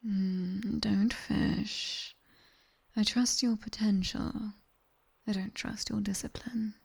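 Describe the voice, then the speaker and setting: A British woman playing a sharp-tongued, strict and teasing demon tutor in a breathy, commanding whisper for ASMR roleplay. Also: medium pitch, steady tone, exaggerated voice. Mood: stern, teasing. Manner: slow, measured, commanding.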